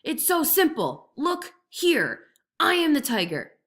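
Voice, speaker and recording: A woman puts on an arrogant tiger who has no patience left. He roars his lines, loud and bullying, a storybook villain who talks down to everyone. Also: high-pitched, wildly swooping pitch, slow, voice pushed up, full character voice. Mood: impatient. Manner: loud, roaring, impatient.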